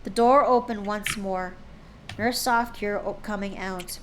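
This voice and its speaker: A woman's voice: mid-range voice, fairly even intonation, measured pace, fairly bright tone, slight background noise. A woman streamer reading fan fiction aloud live, casually stumbling and correcting herself.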